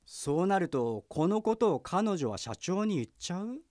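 A man's voice: high-pitched, sing-song, moderate pace, warm tone, dry recording, studio quality. A man playing a junior detective in an audio drama, polite but given to blunt retorts as the exasperated straight man. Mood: dry, exasperated. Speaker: voice actor.

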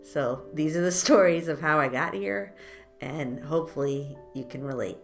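A woman with a low voice hosts a casual podcast, telling stories about her childhood in a wry, self-mocking way. She laughs easily and talks like she's chatting with a friend. Faint music plays behind her. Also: normal speed, creaky. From born in Italy, grew up in France. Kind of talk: casual storytelling chat.

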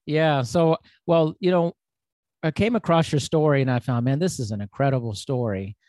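A man's voice: on the high side, moderate pace, darkish tone, dry recording, clean studio sound. Laid-back man hosting a podcast. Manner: laid-back, friendly, says "man" a lot. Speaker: podcast host. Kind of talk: casual interview chat.

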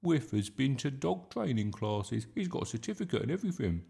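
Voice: medium pitch, sing-song, a little fast, vocal fry, mellow, voice pushed up. A performer voicing an eager, cheeky little schoolboy in a storybook, earnest and pleading.

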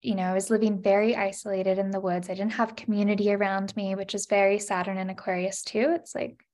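A woman's voice: mid-range voice, little pitch movement, quick pace, a little mellow. A woman in her thirties, a podcast guest, sharing a personal story in an earnest, reflective way, with many "you know" and "like" fillers.

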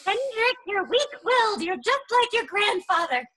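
A woman plays the ghost of an old great-great-grandmother, high and bright, her pitch swinging widely as she scolds bluntly. She is stern and has no patience for fools. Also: sing-song, normal speed, heavily acted. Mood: scornful. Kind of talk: improvised audio comedy.

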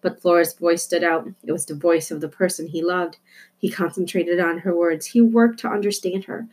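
A woman with a slightly low voice narrates a children's book. Her delivery is expressive storytelling, read from the page. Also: moderate pace. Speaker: storybook reader.

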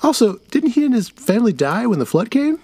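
A man's voice: very high voice, sing-song, a little fast, dark tone, breathy edge, no echo, voice pushed up, exaggerated voice. A man voicing a thoughtful young boy who questions a story with reasoning. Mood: puzzled.

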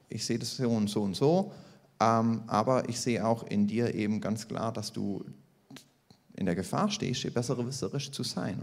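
A man giving a personal testimony at a church service, reflective and a little hesitant as he searches for the right words. His voice has a marked gravelly fry, at a middle pitch. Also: darkish tone.

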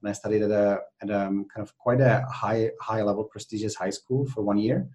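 A man's voice: lowish voice, fairly even intonation, a little fast, a little mellow. A man from the Czech Republic with a Czech accent, a podcast guest chatting animatedly with many fillers and restarts. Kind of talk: conversational interview.

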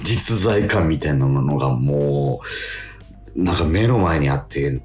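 Male radio co-host, low voice with lively swings, halting anecdotal chat, music underneath.

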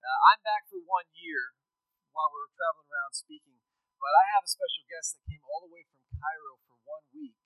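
A man's voice: a little high, fairly quick, no echo, phone-quality sound. A man, a missionary speaking in a casual, conversational sermon and asking his audience questions. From rural Texas.

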